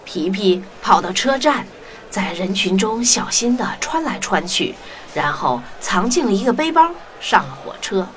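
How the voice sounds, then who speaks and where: A woman's voice: mid-range voice, very animated, measured pace, noisy background. A woman narrating a children's story expressively. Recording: music under the voice.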